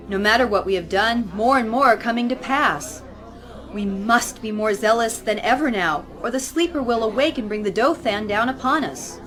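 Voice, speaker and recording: An actress playing a stern, zealous religious leader in an audio drama, speaking in a formal, commanding, preaching way. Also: female voice, on the high side, a bit bright, natural voice. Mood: solemn, preaching. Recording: music playing behind the voice.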